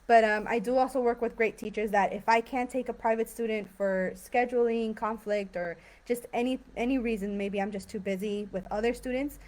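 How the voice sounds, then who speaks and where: Woman, young, chatty, relaxed, filler-heavy, live-stream mic. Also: a little high, fairly quick, few pauses. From San Diego and Tijuana. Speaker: English teacher. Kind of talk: casual live-stream talk.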